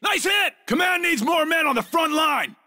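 A man playing a fierce, commanding soldier in a video game, shouting urgent battlefield orders. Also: very high voice, animated, moderate pace, heavily acted. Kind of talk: video game combat acting.

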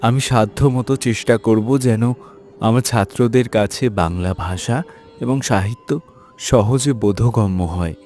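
A man's voice: on the low side, measured pace, a little mellow, soft background music, lightly acted. A man voicing an earnest, resolute Bengali scholar and reformer in a cartoon, speaking formally and with measure. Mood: gracious, modest. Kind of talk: scripted cartoon dialogue.

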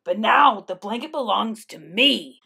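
A woman voicing a selfish, whiny male coyote in a storybook tale, with loud, dramatic pleading and yelping. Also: medium pitch, expressive, measured pace, creaky, slightly rough, exaggerated voice. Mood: defiant, boastful.

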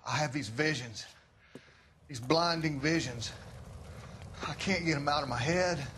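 A man's voice: fairly high pitch, unhurried, fairly bright tone, a little hiss or hum. A man acting as a tormented patient plagued by visions in a video game, anguished and intense.

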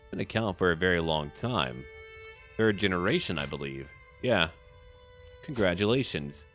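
A man's voice: deep voice, fairly bright tone. A man giving in-character commentary on a strategy game he is playing, thinking aloud in short, clipped remarks as a ruler. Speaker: gaming video host.

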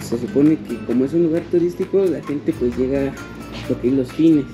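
A man's voice: mid-range voice, normal speed. A man with a Mexican accent chatting casually to his viewers as a motovlog host, full of informal street slang. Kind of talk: casual vlog chat, slangy. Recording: loud music over the voice.

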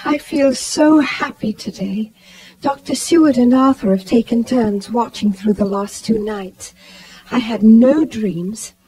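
A woman playing a slow, delicate young lady. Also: a little high, warm tone, natural voice, low-quality recording. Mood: happy, delicate. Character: sweet young Victorian lady, delicate and trusting. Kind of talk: radio drama acting.

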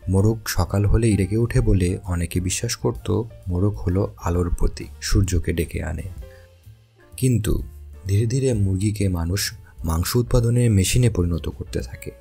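A man with a deep, dark voice and a gravelly edge tells an educational story in a calm, explanatory way. It is a scripted voice-over, with faint music playing underneath. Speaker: narrator of an educational channel. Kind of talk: scripted documentary narration.

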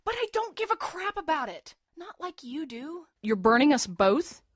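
A woman acting a blunt young female med student, highish voice. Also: very animated, normal speed, dry recording, studio quality. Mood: pleading. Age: young adult. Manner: blunt, sardonic, emotionally intense. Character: defiant, sarcastic, fiercely protective young female medical student. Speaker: voice actress. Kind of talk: audio drama acting.

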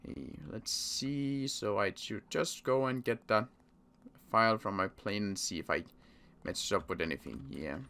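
A man playing a worried zombie apocalypse survivor in an improvised game roleplay, muttering to himself and repeating words haltingly. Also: lowish voice, vocal fry, bright, forceful tone, exaggerated voice.

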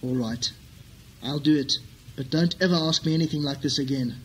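A man's voice: a little high, normal speed, a little hiss or hum, natural voice, poor audio. A man playing a nervous, weak-willed accomplice in a melodramatic radio-play parody, with hesitant delivery. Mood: nervous, reluctant.

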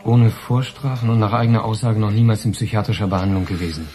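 A man's voice: fairly low pitch, steady tone, quick pace, noisy background, lightly acted. An actor playing a dutiful police officer in a radio crime drama, reporting to his chief in a dry, official manner. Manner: dry, official, matter-of-fact. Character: dutiful male police subordinate, matter-of-fact and loyal. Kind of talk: naturalistic German radio crime drama acting.

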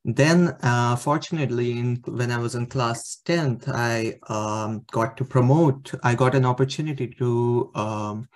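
A man's voice: medium pitch, measured pace, few pauses. A young man from India, a student giving a slide presentation over a video call, hesitant with many fillers. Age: young adult. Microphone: webcam on video call.

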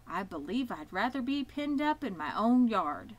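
A woman's voice: a little high, sing-song, normal speed. A woman voicing a very young, timid, unhappy piglet in a children's storybook, plaintive and bewildered. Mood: dismayed.

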